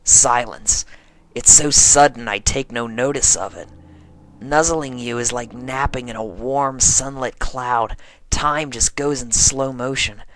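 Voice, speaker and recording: A performer reading fan fiction aloud as a dramatic first-person narration, in a deliberate manner. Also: medium pitch, fairly bright tone, poor audio.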